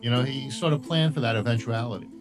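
A man's voice: medium pitch, fast, music in the background. A man chatting on a panel call, hesitant and full of fillers. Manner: hesitant, full of "uh" and "you know". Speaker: panel guest. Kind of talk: casual chat.

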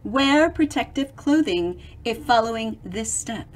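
A middle-aged woman reads a children's picture book aloud in a high voice. Her pitch swoops widely and she takes her time, giving words theatrical emphasis and breaking off to explain them to young listeners. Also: a little slow. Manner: expressive, theatrical, with dramatic emphasis and sound effects. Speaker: storyteller reading picture books aloud to children.

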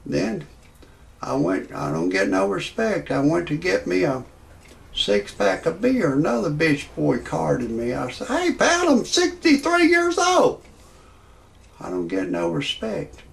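A man, telling a rambling parody comedy monologue in a halting way, full of "uh" fillers and restarts. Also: a little high, sing-song. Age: 60s. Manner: slow, halting delivery with many "uh" fillers and restarts; gravelly voice. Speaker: comedy storyteller.

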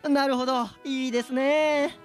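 A man playing a polite, cheeky real estate agent who jokes deadpan in a light comic radio drama. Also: very high voice, moderate pace, soft background music.

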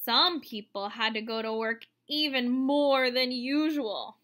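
A woman in her thirties reading a picture book aloud to children, explaining as she goes in an expressive way. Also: a little high, slow pace, slight background noise. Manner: expressive, explanatory, addressing young listeners. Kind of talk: read-aloud storytelling for children with asides. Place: room at home, indoors.

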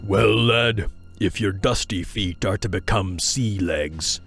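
A man voicing a stern, stoic pirate captain with a measured, commanding manner. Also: deep voice, vocal fry, fairly bright tone, faint music behind, exaggerated voice.

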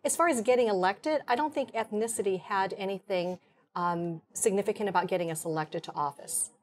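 A middle-aged woman's voice of medium pitch, calm and measured as she answers questions as a studio guest. Her voice comes through clearly on a lapel mic. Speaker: county supervisor, guest. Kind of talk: TV interview.

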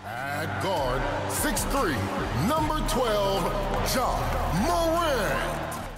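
An American man works as an arena announcer, pushing his voice very high with huge swoops. He stretches words extremely slowly. Loud music plays underneath. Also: very animated. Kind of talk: drawn-out sports arena announcing.

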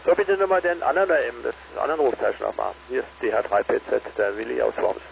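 A man's voice: mid-range voice, quick pace, vocal fry. A man chatting casually as an amateur radio operator over a two-way transmission. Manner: tags statements with "ne?". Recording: dull, noisy ham radio transmission.

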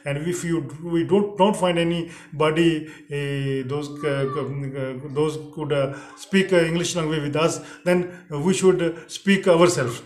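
A man's voice: fairly high pitch, fairly even intonation, measured pace, hardly any pauses. A middle-aged man, an Urdu speaker, gives a spoken English lesson straight to camera. He talks in a slightly raised register, stopping often on "uh" and starting phrases over. The room around him rings with echo. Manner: hesitant, frequent uh fillers and restarts. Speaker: English teacher. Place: indoors, plain teal backdrop. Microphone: camera in front, head and shoulders framing. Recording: echoey room.

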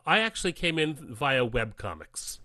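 A man's voice: medium pitch, big pitch swings, normal speed, pushed and bright, dry recording. A man recounting things casually as a guest in an interview. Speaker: podcast guest, voice actor.

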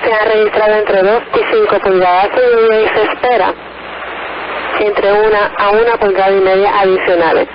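A man's voice: sits high, fairly even intonation, moderate pace. A man formally reading a scripted emergency weather bulletin as a radio announcer. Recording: muffled, noisy weather radio broadcast.

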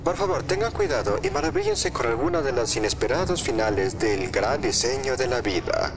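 A man's voice: mid-range voice, wildly swooping pitch, moderate pace. A man with a Latin American Spanish accent voicing a theatrical, macabre museum announcer, reading florid tour narration for a game dub. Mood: theatrical, presentational. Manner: florid, presentational.

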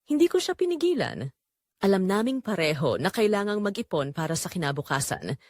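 A woman's voice: medium pitch, very animated, fairly quick, darkish tone, no room sound. A woman with a Filipino accent playing a lonely newlywed wife, confiding earnestly in first-person audio drama narration. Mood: wistful, lonely. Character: lonely young newlywed wife confessing her secret.

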